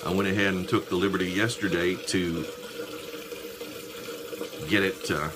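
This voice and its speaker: A man in his fifties chatting casually and haltingly to viewers in a small bathroom while busy with a task. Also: low-pitched, level tone, background noise. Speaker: shaving vlogger.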